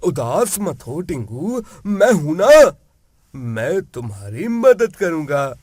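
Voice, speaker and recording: A man voicing a kind, reassuring magical young prince in an animated moral story, with earnest, declarative delivery. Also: on the high side, slow, slightly rough, no room sound, clean studio sound, full character voice.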